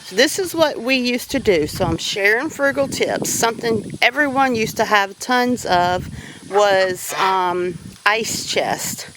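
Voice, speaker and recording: A woman's voice: mid-range voice, animated, slow, noisy background. A woman with a Southern accent talking casually and practically about her homestead while walking outdoors. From Louisiana. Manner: down-to-earth, folksy, practical. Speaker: homesteader vlogger. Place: outdoors on a farm.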